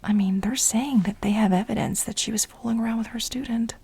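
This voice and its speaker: An extremely breathy, whispered voice of an obsessive woman , played by an ASMR performer who pines for her married boss. She is dramatic and insistent, often repeating herself haltingly. The delivery is soft-spoken ASMR role play. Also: a little high, fairly even intonation, full character voice. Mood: conspiratorial, gossipy.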